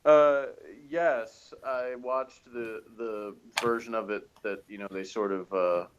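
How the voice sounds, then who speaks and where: A man chatting casually as a co-reviewer, halting and often trailing off mid-thought. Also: lowish voice, fairly even intonation, lo-fi sound, background noise. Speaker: co-reviewer of a TV episode. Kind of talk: casual chat.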